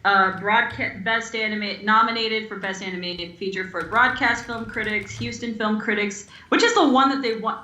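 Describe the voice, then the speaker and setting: A woman podcast co-host chatting casually about films in an animated, talkative way. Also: medium pitch, normal speed. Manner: animated, chatty, acts out imagined quotes. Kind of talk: casual film chat. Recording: echoey room sound.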